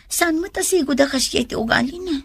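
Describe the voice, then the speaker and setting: A woman's voice: high-pitched, brisk, dry recording. A woman acting a meek, apologetic young woman in a radio drama, hesitant and pleading. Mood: troubled, confiding.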